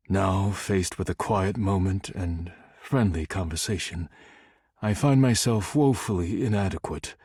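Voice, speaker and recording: A man voicing a serious, proud, formal dish character in a dating sim game, speaking in measured, precise and reserved phrases. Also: lowish voice, a little mellow, breathy edge, no echo, clean studio sound. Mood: reflective, regretful. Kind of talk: scripted character dialogue.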